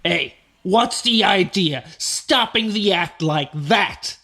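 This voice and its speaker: A man's voice: sits high, animated, takes it slowly, background noise, full character voice. A man playing a blustering nightclub boss and emcee in a radio play, bombastic and emphatic, with crowd sounds behind. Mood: irate.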